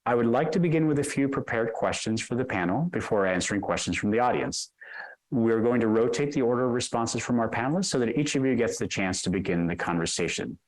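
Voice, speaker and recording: A man's voice: medium pitch, expressive, fast talker, poor audio. Polite man moderating a panel. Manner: polite, reads prepared questions. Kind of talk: formal moderating.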